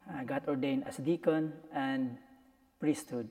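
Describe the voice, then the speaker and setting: A man's voice: mid-range voice, steady tone, a little slow, warm tone. A man in his forties with a Filipino accent, a priest chatting casually as an interview guest. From Philippines. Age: 40s. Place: echoey room in a church. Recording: echoey hall reverb.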